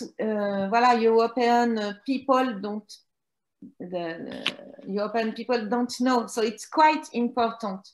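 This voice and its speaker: French-accented lady, kinda high voice, lots of ums and restarts, roomy echo on the call. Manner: halting, many fillers and restarts. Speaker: fashion PR guest. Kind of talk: hesitant conversational explaining.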